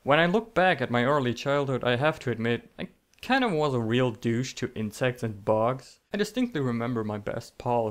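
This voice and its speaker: A man telling comedic scripted stories in a theatrical, sarcastic, mock-dramatic manner. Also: medium pitch. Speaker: storytelling video creator.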